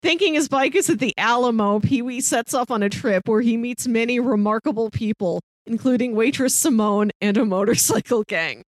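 High-voiced woman co-hosting a film podcast. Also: moderate pace, few pauses, no room sound. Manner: chuckles mid-sentence, playful. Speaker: podcast co-host. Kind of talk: casual film podcast chat, pitching films and reading blurbs.

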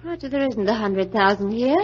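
A woman's voice: on the high side, lively intonation, a little fast, slightly rough. An actress playing an impatient, emotional female accomplice in an old radio crime drama, with a fretful, dramatic manner. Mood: indignant. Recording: noisy, muffled vintage radio recording.

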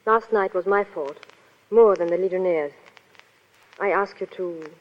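An actress playing a cool, alluring cabaret woman in a 1930s radio drama, soft and measured. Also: lowish voice, natural voice. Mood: pleading. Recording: muffled 1930s radio broadcast, hall reverb.

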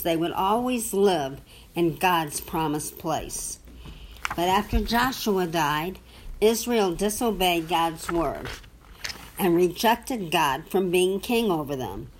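A woman reading a children's Bible storybook aloud to a young child, with simple, deliberate phrasing and questions for the listener. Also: fairly low pitch, a little hiss or hum, poor audio.

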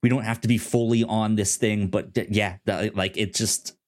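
A man co-hosting a casual film chat in a halting way, often repeating the starts of words. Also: on the low side, steady tone. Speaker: podcast co-host.

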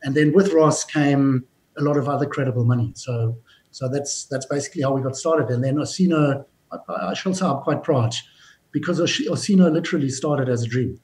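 A businesslike man tells his story as an interview guest. He often starts a phrase over before finishing it, and his pitch sits in the middle range. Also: fairly quick, darkish tone, poor audio. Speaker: mining company executive, guest. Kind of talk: interview answers, recounting his career.

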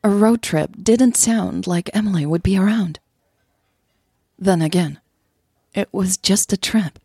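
Husky-voiced woman, close and dry. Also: medium pitch, measured pace, dark tone, no echo. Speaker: podcast host and narrator. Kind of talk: scripted story narration and listener thanks.

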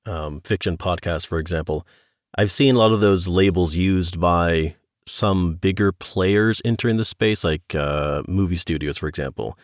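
Low-voiced male podcast interviewer. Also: moderate pace, dry recording, studio quality. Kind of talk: conversational interview questioning.

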